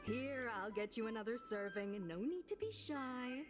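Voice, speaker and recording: Woman playing a high-voiced, chatty food vendor. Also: animated, moderate pace, music in the background, natural voice. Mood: welcoming. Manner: polite, welcoming. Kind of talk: video game character acting.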